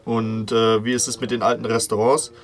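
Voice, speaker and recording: A man's voice: on the low side, little pitch movement, brisk. A man acting as radio interviewer, voicing interview questions as a voice-over.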